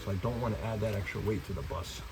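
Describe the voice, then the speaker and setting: A man's voice: fairly low pitch, steady tone, fast talker. A man hosting a DIY vlog, chatting informally and rambling a little as he works hands-on. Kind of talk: casual hands-on vlog chat. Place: in and around a camper van. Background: music.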